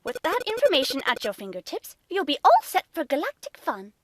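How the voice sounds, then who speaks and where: A woman's voice: high-pitched, wildly swooping pitch, brisk, no echo, studio quality. A woman playing a whimsical magic teacher in a game fan dub, reassuring yet secretive. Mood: bright, excited.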